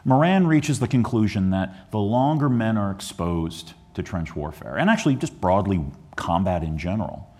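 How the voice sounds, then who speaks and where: Low-voiced man giving a halting history lecture. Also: lively intonation, a little mellow. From Chicago area, USA. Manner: earnest, with frequent ums and restarts. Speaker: historian giving a book talk. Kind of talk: museum lecture. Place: museum lecture hall.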